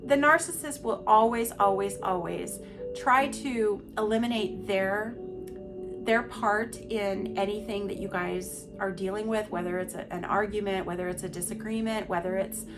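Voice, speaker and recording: Woman host, middle-aged, stuffy from a cold, blunt and emphatic, over clear background music. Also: mid-range voice, moderate pace. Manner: candid, emphatic, repeats words for stress. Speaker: podcast host. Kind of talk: personal monologue on abuse recovery.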